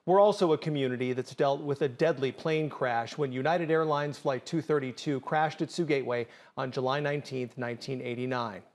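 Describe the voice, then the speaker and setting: A man with a measured, formal delivery, interviewing a guest for broadcast news. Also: medium pitch.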